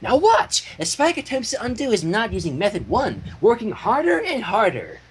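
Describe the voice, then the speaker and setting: A man's voice: sits high, wildly swooping pitch, moderate pace, a little hiss or hum, voice pushed up. A man voicing a hyper, dramatic female cartoon pony who makes exclamatory, mock-grave pronouncements in a fan story reading. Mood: bossy demonstrating.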